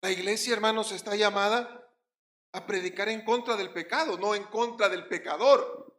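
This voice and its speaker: Puerto rican pastor guy preaching hard, bright forceful voice, echoey church. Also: sits high, moderate pace. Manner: emphatic preaching, swinging between loud stresses and quiet drops. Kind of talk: sermon.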